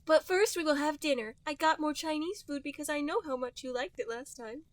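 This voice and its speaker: Woman playing a high-voiced, worried cartoon mom. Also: a little fast, no room sound, natural voice. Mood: caring. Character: caring, worried mother of a teenage hedgehog.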